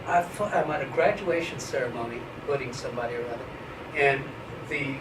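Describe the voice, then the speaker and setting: A man's voice: mid-range voice, a little fast. A man in his fifties being interviewed about writing, talking frankly and wryly with loose, casual language and frequent restarts. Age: 50s. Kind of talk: relaxed academic interview. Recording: echoey room, mic at a distance, noisy.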